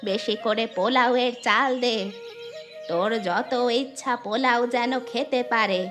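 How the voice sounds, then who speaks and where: A woman's voice: fairly high pitch, measured pace, close to an everyday voice. A woman voicing a kind, plain-spoken old village grandmother in a fairy-tale cartoon. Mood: brisk, bossy. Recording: music behind the voice.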